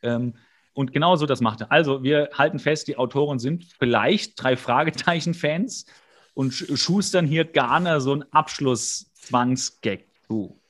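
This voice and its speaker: A man's voice: medium pitch, measured pace, a bit bright. A man co-hosting a podcast, recapping an episode in casual chat with a jokey, rambling, wry manner. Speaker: podcast co-host.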